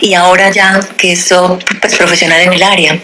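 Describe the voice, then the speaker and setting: A woman's voice: lowish voice, flat delivery, moderate pace. A woman hosting a radio interview, asking polite, formal questions and answering with short backchannels. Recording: loud background noise.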